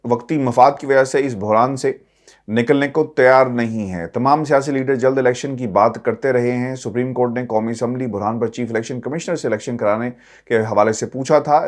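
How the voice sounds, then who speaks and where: Male political commentator, Pakistani accent, mid-range voice. Addresses viewers directly, leans on points with emphasis and repetition. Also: mid-range voice.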